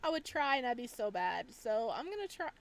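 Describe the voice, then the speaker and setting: A woman hosting a gaming video, giving casual, playful and expressive let's play commentary as she reacts aloud. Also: on the high side, fairly quick, creaky.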